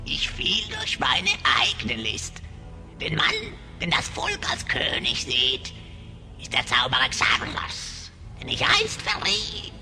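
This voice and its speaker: A man's voice: medium pitch, wildly swooping pitch, takes it slowly, bright, forceful tone, heavily acted. A man playing a sly, cackling hyena spy who speaks in theatrical rhymes in a fantasy radio play. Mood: gloating, sly. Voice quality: creaky. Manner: theatrical rhyming speech, breathy cackles. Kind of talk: German children's fantasy radio play acting. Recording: voice over music.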